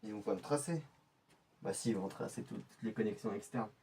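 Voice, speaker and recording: A man's voice: fairly low pitch, animated, fairly quick, gravelly, warm tone, recorded from a distance, a little hiss or hum. A man playing an undercover infiltrator who mutters his plans to himself. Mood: pensive. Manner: muttering to himself, thinking aloud. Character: male undercover infiltrator planning his cover. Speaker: infiltrator character. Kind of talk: scripted in-character monologue.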